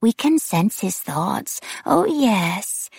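A woman voicing a sinister, demented female wraith who taunts in a breathy, whispering way with sing-song repetition. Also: mid-range voice, sing-song, slow pace, a little mellow, no room sound, pitched above the performer's own voice, heavily acted. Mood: menacing glee.

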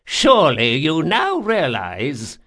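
Male narrator as rich old man, storybook villain, high swooping pitch, haughty, formal, indignant, bargaining. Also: wildly swooping pitch, slow, bright, forceful tone, heavily acted. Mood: guarded, haughty. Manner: haughty, formal, pleading when frightened.

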